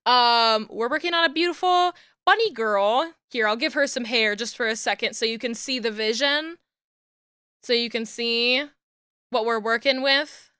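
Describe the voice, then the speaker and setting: Young New Jersey woman streamer, bright and high, mutters as she works and squeals her greetings. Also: clear, resonant tone, dry recording, studio quality. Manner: soft murmuring while working, bursting into loud squealing greetings. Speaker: VTuber streamer rigging a model. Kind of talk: casual live-stream talk while working.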